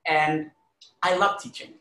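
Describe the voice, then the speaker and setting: Bright-voiced, earnest man from Honduras, echoey room. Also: fairly high pitch, steady tone, unhurried. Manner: earnest, emphatic, frequent "like" and "you know". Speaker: arts critic and educator, podcast guest. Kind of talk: conversational interview, personal storytelling.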